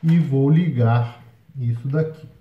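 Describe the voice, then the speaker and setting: A man's voice: mid-range voice, takes it slowly. Brazilian guy explaining a board game at a table, lots of "né?", kinda roomy and dull audio. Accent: Brazilian Portuguese. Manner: explanatory, frequent fillers and tag questions. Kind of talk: solo gameplay walkthrough. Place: indoors at a table. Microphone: overhead camera, hands only.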